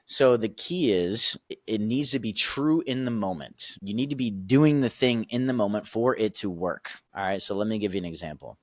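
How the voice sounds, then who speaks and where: A man's voice: fairly low pitch, expressive, normal speed, few pauses, no echo, clean studio sound. A man on a podcast as a guest coach, explaining his method casually and emphatically, checking in with the listeners as he goes and laughing easily.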